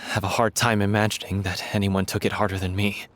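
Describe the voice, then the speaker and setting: An actor playing an earnest, heartbroken young male member of a theatre troupe in a game, speaking in halting confessions. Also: lowish voice, level tone, a bit bright, close to an everyday voice. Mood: confessing, sorrowful. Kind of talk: dramatic game voice acting.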